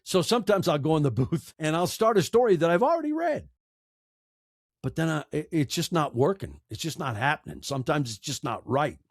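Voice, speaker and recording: American man, middle-aged, slightly high voice, earnest and emphatic, candid conversation, very dry recording. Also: big pitch swings, a little fast, vocal fry, no room sound. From United States. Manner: emotional, earnest, emphatic with repeated phrases. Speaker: interview guest, audiobook narrator and podcaster. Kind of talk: candid conversational interview answers.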